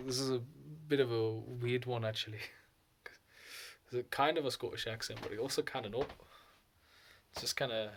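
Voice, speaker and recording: A man's voice: medium pitch, slight background noise. Rambling man sliding between silly voices. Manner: slips in and out of put-on voices and accents, laughs often. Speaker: vlogger. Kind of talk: rambling solo vlog.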